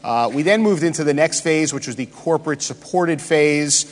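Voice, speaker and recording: A man giving a conference presentation, pausing often with many "uh" fillers. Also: fairly high pitch. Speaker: conference speaker. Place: large conference hall. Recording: reverberant hall.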